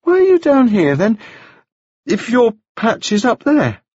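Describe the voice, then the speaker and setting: A man voicing a wounded young English gentleman, feverish and dazed, with a high, very bright voice and prim, overly courteous manners. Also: wildly swooping pitch, measured pace, breathy edge, no echo, muffled recording, exaggerated voice.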